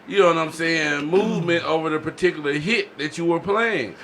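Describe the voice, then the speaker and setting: A man's voice: fairly high pitch, a little fast, a bit bright, slight background noise. A man hosting a casual podcast debate, speaking in slang with firm opinions and frequent "you know" tags. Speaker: podcast host.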